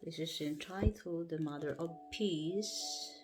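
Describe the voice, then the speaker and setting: A woman with a Filipino accent reads a book aloud on a bedtime livestream from home. She goes slowly and carefully, trips on longer words and corrects herself. Soft music plays faintly behind her. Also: deep voice. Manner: careful, halting reading with self-corrections. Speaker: livestream host. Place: at home before bed. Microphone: livestream setup.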